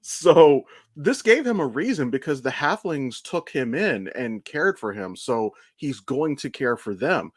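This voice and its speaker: A man's voice: medium pitch, big pitch swings, measured pace, few pauses, dry recording, studio quality. A man co-hosting a casual podcast, explaining his opinions as a fan with the odd swear word. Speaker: podcast co-host. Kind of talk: casual chat, opinionated pop-culture commentary.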